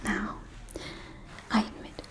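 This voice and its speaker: A woman playing a gossiping, catty aristocratic vampire lady in a whispered ASMR roleplay, with a breathy, confiding, arch delivery. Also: natural voice, poor audio. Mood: wry, dismissive. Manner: slow, confiding, arch, drawling.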